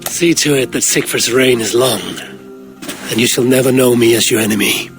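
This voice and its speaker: Man acting as a stern Viking warrior, mid-pitched voice, grave, direct, loyal, game cinematic, prominent music. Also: normal speed, natural voice. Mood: frank, calm.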